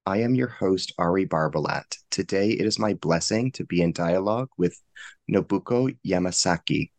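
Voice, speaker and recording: Deep gravelly male podcast host. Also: dry recording. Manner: formal, measured, courteous. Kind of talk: formal interview host.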